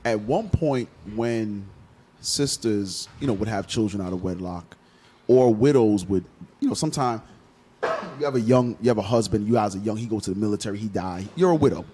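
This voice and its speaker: A man's voice: fairly low pitch, normal speed, dark tone, a little hiss or hum. A man hosting a radio talk show, lecturing in an assertive, preachy way during a casual group discussion.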